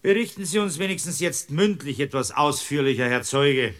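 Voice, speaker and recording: A man's voice: a little high, animated, normal speed, a bit bright. An actor in a radio play playing a stern, middle-aged district judge, brusque, formal and exasperated as he questions a witness. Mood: scornful, formal.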